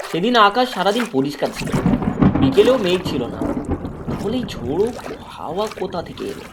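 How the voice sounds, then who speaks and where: Animated male horror story narrator. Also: high-pitched, brisk, very noisy.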